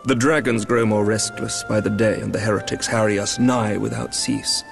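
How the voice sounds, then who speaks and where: An actor voicing a courteous knight commander in a fantasy game, speaking formal, measured lines with a British accent. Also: male voice, fairly low pitch, steady tone, vocal fry, soft background music. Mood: composed, earnest. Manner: formal, stately, measured diction. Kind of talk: dramatic fantasy game voice acting.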